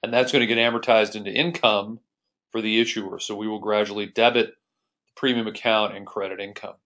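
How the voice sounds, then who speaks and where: American man, slightly deep voice, instructor, methodical step-by-step explanation, screencast lesson. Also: fairly even intonation, fairly quick, fairly bright tone. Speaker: accounting instructor.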